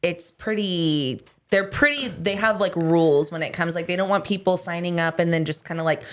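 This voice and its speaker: A woman, a guest on a studio podcast, answering in a relaxed way full of "like". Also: on the low side, normal speed. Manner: relaxed, lots of "like" fillers. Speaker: guest, esthetician. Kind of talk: casual interview answers.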